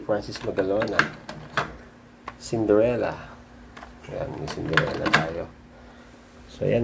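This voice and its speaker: A Filipino man doing a casual, unscripted show-and-tell as a vlogger, halting and muttering to himself as he handles things. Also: fairly low pitch, gravelly. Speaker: vlogger showing his cassette collection. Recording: noisy recording with handling clicks.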